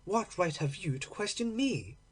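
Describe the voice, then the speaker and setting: A man's voice: high-pitched, sing-song, a little slow, husky, full character voice. A man voicing a secretive, defiant aristocratic lady in a storybook reading, guarded and defensive.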